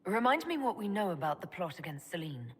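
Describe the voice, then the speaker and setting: Firm, dry elf commander. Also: female voice, lowish voice, very animated, brisk, husky. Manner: firm, dry, matter-of-fact. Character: sharp, commanding female elf mage leader. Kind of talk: video game voice acting.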